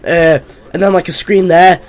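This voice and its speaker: A young man with a high, strained, hoarse voice rambles loosely through his gameplay commentary, full of fillers. He talks into a desktop mic from a room at home. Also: fairly even intonation, normal speed. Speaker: gaming YouTuber.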